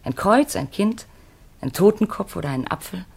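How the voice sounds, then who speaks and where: An actress in a 1950s German radio play voicing an earnest, wistful middle-aged mother on the run, speaking in measured, pleading short phrases. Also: mid-range voice, animated, gravelly, a little mellow, husky. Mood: gently coaxing. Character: Marianne, earnest, wistful fugitive mother of grown children.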